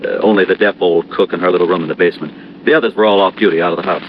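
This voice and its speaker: An American actor playing an earnest, trusting, slightly anxious insurance office manager in a 1950s radio drama. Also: male voice, sits low, fairly even intonation, racing, natural voice. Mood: protesting, earnest. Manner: earnest, explanatory, conversational. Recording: dull, noisy old radio recording.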